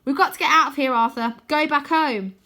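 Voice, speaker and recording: A woman's voice: high-pitched, brisk, bright, forceful tone, pitched above the performer's own voice. A woman voicing a stubborn, scornful girl in a storybook, firm and sceptical in manner. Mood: firm, exasperated. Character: stubborn, bossy, scornful girl, a twin sister.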